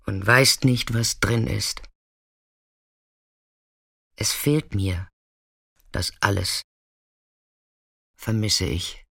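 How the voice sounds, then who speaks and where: A man acting in a radio play as a weathered, fearless narrator, speaking in an understated, intimate way with hushed moments. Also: on the low side, drawn-out words, creaky, long pauses, breathy edge, no echo, studio quality, full character voice. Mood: wistful.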